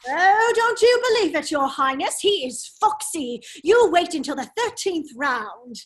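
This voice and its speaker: A woman playing a foppish, breezy gentleman husband in an amateur radio play read, with an airy, drawling, exclamatory manner. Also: extremely high pitch, very animated, unhurried, full character voice. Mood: excited, urgent.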